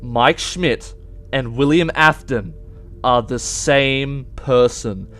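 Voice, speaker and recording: A man's voice: mid-range voice, slow, a bit bright, faint music behind. A young adult man hosting casual game theory commentary, addressing viewers directly and emphatically. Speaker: YouTube game theory host.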